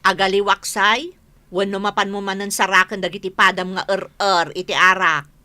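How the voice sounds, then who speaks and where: A woman's voice: medium pitch, flat delivery, normal speed, bright, forceful tone, no room sound, natural voice. A woman in a radio comedy drama playing a worried, exasperated wife who scolds her drinking husband. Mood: worried nagging.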